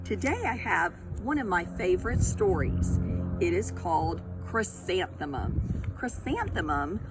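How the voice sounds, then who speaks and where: A woman reading a picture book aloud to young children, expressive and deliberate, pausing to put questions to them directly. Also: fairly low pitch, loud music behind the voice. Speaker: storytime reader, teacher of young children.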